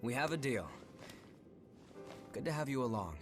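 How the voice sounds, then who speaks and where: An actor playing a calm, thoughtful young male leader in a video game, with a measured, composed delivery. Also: mid-range voice, over music, lightly acted. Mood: composed, welcoming. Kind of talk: English video game dub acting.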